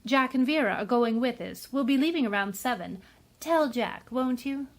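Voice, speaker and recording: Airy, bored high-ish society lady played by a woman. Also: expressive, normal speed. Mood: breezy. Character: breezy, bored wealthy society matron. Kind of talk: old-time radio drama acting.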